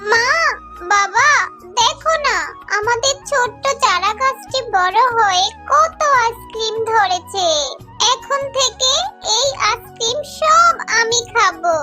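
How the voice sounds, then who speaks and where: Extremely high, squeaky little fox girl. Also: lively intonation, slow pace, music in the background, heavily acted. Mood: gleeful. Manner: childlike, eager. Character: little fox girl, eager ice-cream-loving daughter. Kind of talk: cartoon dialogue.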